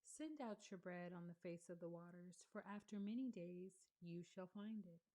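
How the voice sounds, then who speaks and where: A woman reads scripture aloud in a slightly low voice, taking each phrase slowly and deliberately. Her tone is mellow and soft-edged rather than bright. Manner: measured, deliberate reading with pauses. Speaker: scripture reader. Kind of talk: slow read-along of scripture.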